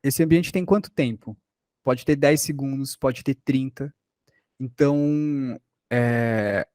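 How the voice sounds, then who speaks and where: A man's voice: medium pitch, a little mellow, dry recording, studio quality. A Brazilian man giving an informal lesson as a workshop instructor, explaining by example with rhetorical questions and check-ins.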